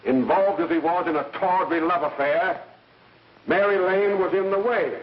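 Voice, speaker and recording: A stern courtroom prosecutor acted by a man declaims with righteous weight in a high voice. It is a 1930s film soundtrack, echoey and heard from some distance. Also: normal speed, highs cut off, close to an everyday voice. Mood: righteous, grave. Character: stern male prosecutor pleading to a jury.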